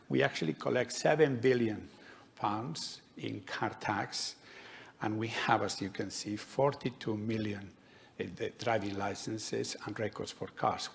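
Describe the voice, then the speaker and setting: A man with a Spanish accent giving a measured, explanatory conference talk as a doctor. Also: mid-range voice, creaky. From Spain. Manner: measured, explanatory, with light humour. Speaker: conference speaker, DVLA doctor. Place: conference hall.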